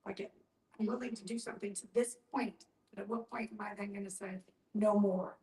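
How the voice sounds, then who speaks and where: A woman's voice: mid-range voice, steady tone, fairly quick, warm tone. A woman speaking reflectively and explaining her view in a group discussion, repeating phrases for emphasis. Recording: echoey room, distant mic.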